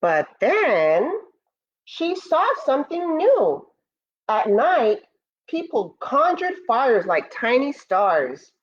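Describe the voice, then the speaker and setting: Slow, animated American woman reading to children. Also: high voice, highs cut off. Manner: expressive, addresses children directly. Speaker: elementary school educator. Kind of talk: children's read-aloud with asides to pupils.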